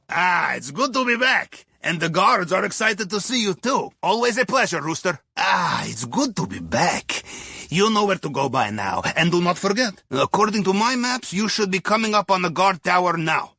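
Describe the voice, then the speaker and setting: Wry russian sub captain for a game, highish bright voice, briefing the crew like a co-conspirator. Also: male voice, husky, dry recording, heavily acted. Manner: wry, conspiratorial, tags statements with questions. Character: genial, wry Russian ex-navy submarine captain and heist handler. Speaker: mission handler. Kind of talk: video game mission dialogue over comms.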